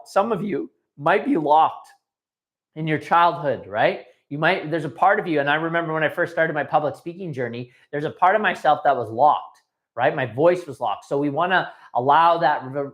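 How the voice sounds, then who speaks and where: A man's voice: a little high, a bit bright. A man giving a live training talk as a dating coach, emphatic and repetitive, often checking in with his listeners.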